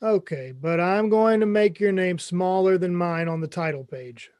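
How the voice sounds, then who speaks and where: A man's voice: high-pitched, normal speed, darkish tone, clear, resonant tone, dry recording, studio quality, lightly acted. A man playing a blunt, self-important amateur screenwriter in a comedy table read. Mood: smug.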